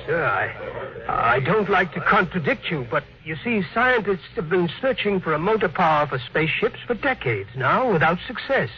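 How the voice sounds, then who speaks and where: An actor playing a polite, doubtful engineer with a husky voice and a hesitant, deferential manner in an old-time radio drama. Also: male voice, sits high, big pitch swings, moderate pace. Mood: hesitant, cautious. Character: deferential, doubtful engineer reasoning with his boss. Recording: dull vintage radio recording.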